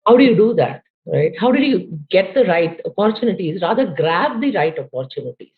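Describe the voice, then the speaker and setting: A woman's voice: fairly low pitch, lively intonation, a little fast. A woman in her late forties from India with an Indian accent, speaking thoughtfully as a podcast guest, with frequent "you know" fillers. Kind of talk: conversational interview. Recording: tinny phone-like line.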